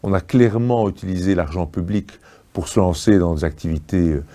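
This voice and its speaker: A man with a fairly low, soft-edged, relaxed voice. He speaks as a political party spokesman in an interview register. His delivery is assertive, with pauses as he searches for the right word.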